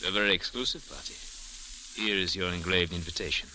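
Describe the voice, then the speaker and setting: An actor playing a suave, menacing villain in old radio drama, speaking formally and deliberately with sly insinuation. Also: male voice, low-pitched, fairly even intonation, pushed and bright, low-quality recording. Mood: smooth menace. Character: suave, menacing male villain offering a sinister deal. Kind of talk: 1940s-50s radio drama acting. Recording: hissy old-time radio recording.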